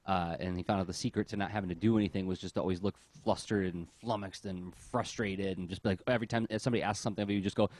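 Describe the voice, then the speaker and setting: A man hosting a casual podcast discussion, speaking in an animated, teasing way into a close microphone. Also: deep voice, very fast, hardly any pauses. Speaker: podcast host.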